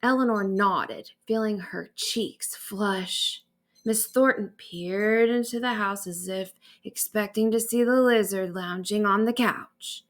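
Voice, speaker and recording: A woman narrating a bedtime story aloud in an expressive storytelling manner. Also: medium pitch, slow. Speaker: author reading own stories aloud.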